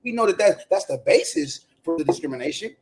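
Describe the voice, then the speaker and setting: A man joining a livestream as a guest, speaking softly and hesitantly. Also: sits high, very animated, brisk, a crowd in the background. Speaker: guest reading from a book. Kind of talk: casual discussion.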